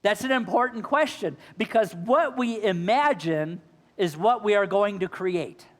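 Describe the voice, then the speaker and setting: A male minister preaching a sermon, his voice slightly hoarse, asking the congregation earnest questions. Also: high voice, lively intonation, measured pace, fairly bright tone, in a large hall. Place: church sanctuary.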